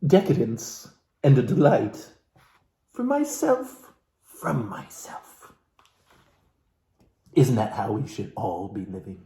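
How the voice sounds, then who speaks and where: A man from Alaska giving a comedic, theatrical book reading as host, florid and mock-genteel, with dramatic pauses and asides to his viewers. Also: mid-range voice, huge pitch swings, dark tone. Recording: roomy, echoey room sound.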